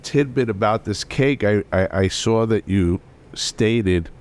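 A man's voice: lowish voice, takes it slowly. Jokey male podcast co-host. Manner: chatty, jokey, interjects often. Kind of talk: casual podcast chat.

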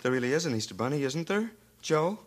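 An actor playing a naive, earnest police partner with a plaintive, worried manner in a comic cop parody. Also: male voice, a little high, sing-song, fast talker. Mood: worried, pleading.

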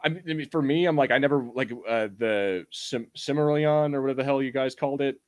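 A man with a medium-pitched voice talks as a podcast host in casual conversation. He rambles loosely and irreverently, dropping swear words as he goes. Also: fast. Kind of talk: casual chat about fantasy film and TV.

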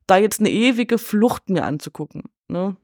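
A woman's voice: medium pitch, lively intonation, moderate pace, a little mellow, no room sound, studio quality. A woman chatting casually about films in an emphatic, colloquial way full of slang. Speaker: podcast guest. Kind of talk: casual film discussion.

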